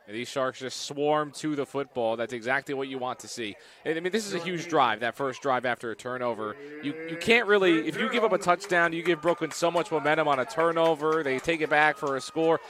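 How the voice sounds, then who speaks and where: Color analyst on a headset, slightly higher voice, relaxed, chatty breakdowns. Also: male voice, brisk. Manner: easygoing, chatty analysis with filler phrases. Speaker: color commentator. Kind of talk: live sports color commentary.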